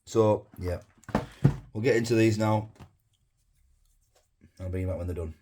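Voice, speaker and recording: A man with a hoarse, sore throat, chatting casually to camera from his home kitchen as a food vlogger, rambling with fillers and asides. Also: fairly low pitch, steady tone, measured pace, warm tone.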